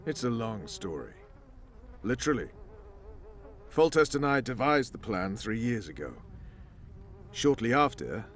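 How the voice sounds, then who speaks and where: An actor playing a calm, persuasive male conspirator in a fantasy game. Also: mid-range voice, slight background noise, close to an everyday voice. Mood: explaining. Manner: measured, persuasive.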